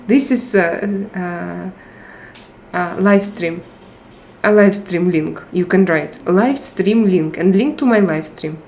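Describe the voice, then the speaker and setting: Slow-talking Russian-accented woman streamer. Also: on the low side, darkish tone, slight background noise. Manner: halting, searching for words with many restarts and fillers. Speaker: live streamer. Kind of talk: casual live-stream chat. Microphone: tablet microphone.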